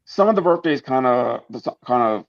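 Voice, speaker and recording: A man's voice: medium pitch, animated, moderate pace, highs cut off. A man in his thirties co-hosting a pop culture podcast, talking casually and restarting his sentences. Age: 30s. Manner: stammering restarts, earnest. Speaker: podcast co-host. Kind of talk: casual chat.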